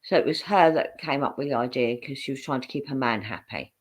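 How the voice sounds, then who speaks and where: A woman's voice: very deep voice, a little fast, husky. A woman co-hosting a live stream, analytically picking apart a story, sometimes restarting her sentences. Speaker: co-host. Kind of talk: casual live stream commentary.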